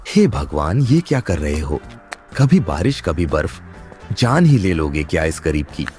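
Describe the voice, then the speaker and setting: A man voicing a poor village farmer, a husband and father, pleading and plaintive in an animated moral story. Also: very deep voice, a little slow, soft background music, full character voice. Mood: despairing.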